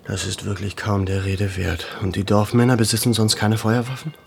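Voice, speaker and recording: An actor playing a composed, probing Armenian gentleman in a radio drama, with measured, deliberate speech. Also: on the low side, level tone, vocal fry, faint music behind. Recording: faint noise behind.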